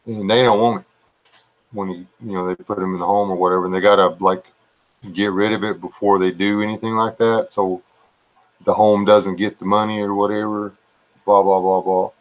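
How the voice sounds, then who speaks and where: A man rambling casually to his live chat viewers in a slow, drawling, low-key voice that some find relaxing and others boring. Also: level tone, rough recording. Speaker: live stream host chatting with viewers.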